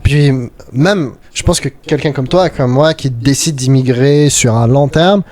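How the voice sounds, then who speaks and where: A man's voice: mid-range voice, measured pace, darkish tone. A young man hosting a podcast, talking in a thoughtful, casual way and often restarting his sentences. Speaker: podcast host. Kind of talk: casual chat.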